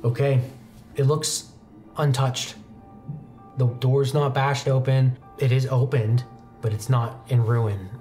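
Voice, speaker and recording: A young man narrating a tabletop roleplaying game as game master, telling the story in a measured way with pauses. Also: medium pitch, little pitch movement, warm tone. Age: young adult. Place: indoor studio set, seated at a desk. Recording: background music under the voice.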